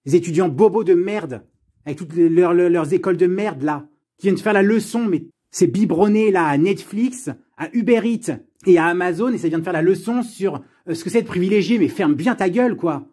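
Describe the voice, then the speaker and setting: High-voiced, exasperated man ranting. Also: a little fast, mellow, slightly rough. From France. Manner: exasperated, sarcastic, blunt, swearing. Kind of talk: unscripted personal rant.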